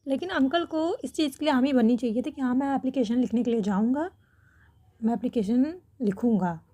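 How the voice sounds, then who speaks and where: A woman delivers opinion commentary straight to her audience. Her voice sits a little high but has a very mellow, relaxed timbre. She speaks earnestly, often restating a phrase to drive it home. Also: fairly quick. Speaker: reaction video host commenting on a family controversy. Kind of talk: opinion commentary addressed to viewers.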